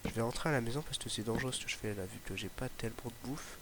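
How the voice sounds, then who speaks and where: Young guy w a slight ardeche accent, gravelly lowish voice, lots of uhs, noisy mic. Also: rapid-fire, a bit bright. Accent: slight Ardèche accent. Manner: rambling, hesitant, lots of fillers and restarts. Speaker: gaming YouTuber. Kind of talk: casual Let's Play commentary. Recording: noisy home mic recording.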